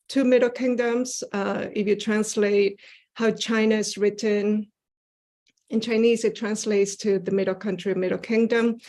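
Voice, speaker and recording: A woman from Ecuador giving an earnest seminar talk about her art over a video call, pausing often with "uh" and "um". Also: mid-range voice, a little mellow. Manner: earnest, with frequent "uh" and "um" fillers. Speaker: guest artist presenting her work. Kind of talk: online seminar presentation with slides.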